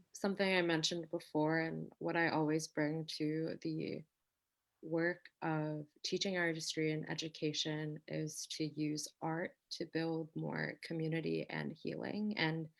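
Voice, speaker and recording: A woman in her forties, a teaching artist, reflecting thoughtfully in a workshop discussion with hesitant pauses. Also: low voice, vocal fry. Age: 40s.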